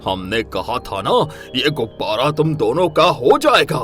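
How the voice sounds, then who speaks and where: A man voicing a gloating, menacing magical moon villain in an animated story, mocking those at its mercy. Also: medium pitch, measured pace, faint music behind. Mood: gloating menace. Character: gloating evil magical moon, male, bent on destruction. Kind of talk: animated moral story voice acting.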